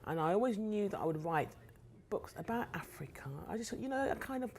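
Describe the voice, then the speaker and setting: A woman's voice: medium pitch, lively intonation, fairly quick, a little mellow. A middle-aged woman with a London accent, chatting enthusiastically as an interview guest and repeating words for emphasis. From South London, England. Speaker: guest author. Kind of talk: casual interview chat.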